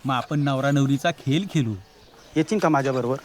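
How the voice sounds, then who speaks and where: A man's voice: medium pitch, fairly quick, heavy background noise, close to an everyday voice. An actor playing a shy lovesick village boy, speaking earnestly and pleadingly. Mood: earnest longing. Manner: earnest, pleading, rustic speech.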